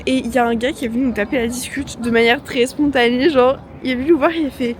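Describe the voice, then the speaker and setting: A woman's voice: high voice, fairly even intonation, fast talker. A young woman travel vlogger chatting casually to camera in an airport, joking and slangy, tired from the trip, with lots of fillers. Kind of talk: casual vlog chat to camera. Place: airport, noisy public areas. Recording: noisy ambience behind.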